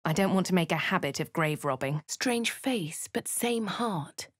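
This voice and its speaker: A woman's voice: lowish voice, expressive, a little slow, breathy edge, no room sound, clean studio sound. An actress with a posh English accent voicing a wry female fantasy adventurer in a video game, narrating and speaking in character. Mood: measured, wry.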